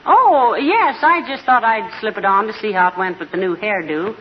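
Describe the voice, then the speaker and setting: An actress playing a coquettish, good-humored housewife in a playful 1940s radio comedy. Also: female voice, mid-range voice, animated, unhurried, close to an everyday voice. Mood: coquettish, teasing. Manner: playful, teasing, lilting. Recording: muffled, noisy 1940s radio recording.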